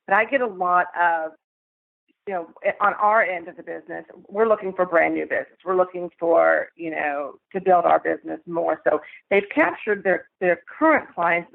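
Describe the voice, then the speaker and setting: Woman, co-host, hesitant questions, gravelly voice, tinny phone line. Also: lowish voice. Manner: hesitant, many "um" and "you know". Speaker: podcast co-host. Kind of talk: casual podcast chat.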